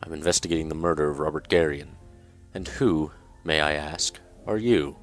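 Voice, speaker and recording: A man voicing a measured, inquisitive detective in an amateur radio play. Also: rumbling low, faint music behind. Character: calm, curious amateur detective.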